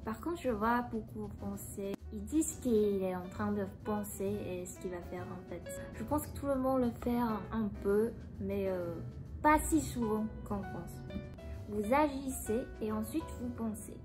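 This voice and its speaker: A young woman from Taiwan, speaking French as an in a casual, hesitant chat while answering a quiz. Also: mid-range voice. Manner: soft-spoken, hesitant, pauses to find words. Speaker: vlogger. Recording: background music under the voice.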